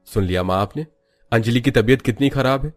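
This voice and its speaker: A man's voice: medium pitch, fairly quick, faint music behind, close to an everyday voice. A man voicing a worried, angry young husband in a cartoon story, reproaching his mother in an earnest way. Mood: stern. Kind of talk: Hindi cartoon story character voice.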